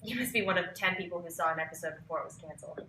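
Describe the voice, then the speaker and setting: A woman's voice: on the low side, very fast, pushed and bright. A woman playing a perky, eager assistant in her late twenties at a live table read. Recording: echoey live room, faint noise.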